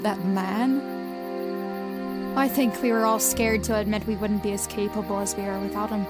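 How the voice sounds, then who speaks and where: A woman's voice: medium pitch, steady tone, moderate pace, over loud music, close to an everyday voice. A woman playing a sentimental, teasing young best friend in an audio drama. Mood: reflective narration. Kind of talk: audio drama acting with first-person narration.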